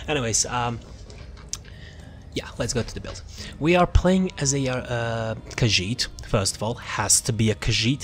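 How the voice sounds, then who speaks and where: A man hosting a gaming video, talking casually through a character build in a rambling, self-interrupting way and often trailing off. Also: fairly low pitch. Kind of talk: casual game build walkthrough commentary.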